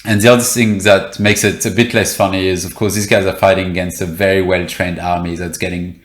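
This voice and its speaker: A man's voice: low voice, flat delivery, a little fast, reverberant. A man, a reporter guest in an interview, explaining events with many fillers and restarts. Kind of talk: interview conversation.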